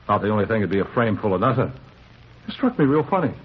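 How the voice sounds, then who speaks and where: An actor playing a tough, self-justifying American bar owner shaken by a robbery, in clipped, streetwise 1950s radio police drama style. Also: male voice, lowish voice, very animated, quick pace. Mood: animated recounting. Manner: clipped sentences, boastful, defensive, streetwise slang. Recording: muffled, hissy 1950s radio recording.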